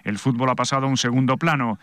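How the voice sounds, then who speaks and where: Smooth, dry man sports reporter. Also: mid-range voice, quick pace, no room sound. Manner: steady, formal. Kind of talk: scripted news voice-over.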